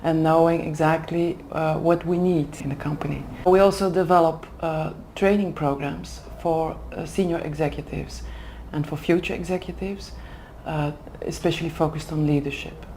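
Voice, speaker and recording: Woman, deep and mellow tone, careful halting in an interview. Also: fairly even intonation, slight background noise. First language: Dutch. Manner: careful, hesitant, pauses to find words. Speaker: executive development manager. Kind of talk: interview answers in a second language. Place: language school in Oxford.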